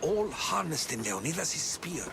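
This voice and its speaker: A man's voice: fairly high pitch, sing-song, a little slow, gravelly, noisy background, heavily acted. An actor voicing a curious male historian, eager and inquisitive in a video game cutscene.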